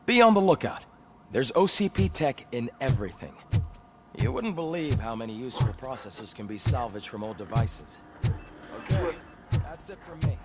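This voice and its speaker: A man's voice: medium pitch, sing-song, moderate pace, soft background music, natural voice. A man playing a chummy police weapons technician in a video game, explaining things informally and eagerly.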